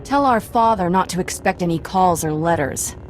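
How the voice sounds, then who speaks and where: Woman as low-voiced, intense young Jedi apprentice. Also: expressive, normal speed, slight background noise, natural voice. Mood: defiant. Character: young female Jedi apprentice, defiant, wounded, earnest. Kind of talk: scripted video game dramatic acting.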